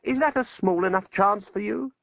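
Bitter, high-strung exiled wizard played by a man. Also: normal speed, creaky, muffled recording. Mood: defiant, bargaining. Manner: impassioned, indignant, snarling. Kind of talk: audiobook character voice.

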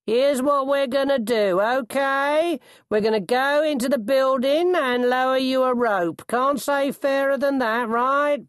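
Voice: high-pitched, lively intonation, a little slow, dry recording, clean studio sound, voice pushed up. A narrator voicing a bluff, bossy male coachman in an audiobook, bellowing heartily. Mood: menacing cheer. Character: bluff, commanding coachman, a man, loud and hearty.

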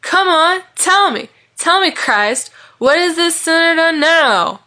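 A woman's voice: very high voice, expressive, slow, bright, forceful tone, pitched above the performer's own voice, full character voice. A woman voicing a hot-tempered drunk young man in a story, taunting with slurred words. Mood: sneering, bitter.

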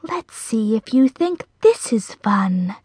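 Woman voicing a slow, ghostly, sinister spider. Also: fairly high pitch, sing-song, darkish tone, slightly rough, no room sound, full character voice. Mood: slow menace. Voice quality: ghostly, whispery hiss. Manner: slow, drawn-out, menacing taunts. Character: sinister female giant spider, ghost-like, taunting menace.

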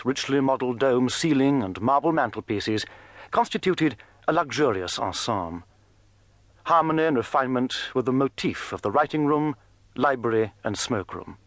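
A man's voice: medium pitch, fairly bright tone, no room sound. Guy reading formally from a script, mid-range voice, no room sound. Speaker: reader.

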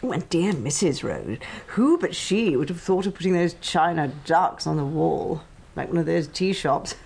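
Creaky, catty upper-class English wife. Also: female voice, sits low, animated, moderate pace, a little mellow, exaggerated voice. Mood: sweetly catty. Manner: sugary, insinuating. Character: genteel, catty schoolmaster's wife, sweetly snobbish. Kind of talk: radio drama dialogue.

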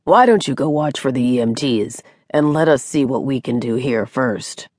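A woman voicing a solemn young male doctor in an audiobook, calm, firm and deliberate. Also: rumbling low, no echo, clean studio sound, heavily acted. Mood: gently firm. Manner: slow, deliberate. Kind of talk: audiobook character dialogue.

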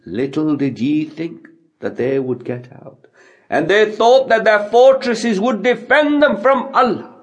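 Male audiobook narrator for sacred text: high register, unhurried pace, solemn and declamatory, phrase-by-phrase recitation. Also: animated. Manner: measured, declamatory, phrase-by-phrase delivery. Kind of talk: solemn scripture recitation in English.

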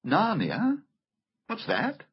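Man voicing an earnest little girl, very animated. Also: sits high, very slow, husky, lo-fi sound, voice pushed up, heavily acted. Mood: puzzled, polite. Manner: plain-spoken, earnest, politely insistent. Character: earnest, polite, kind-hearted little English girl.

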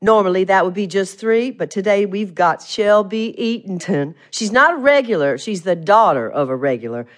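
A proud, talkative Southern woman who runs a small-town beauty shop, played by an actress in an audio play. She talks in a folksy American Southern accent, gossipy and emphatic, at a middling pitch. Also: animated, moderate pace, hardly any pauses, close to an everyday voice. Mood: chatty, gossipy. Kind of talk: audio theatre drama acting.

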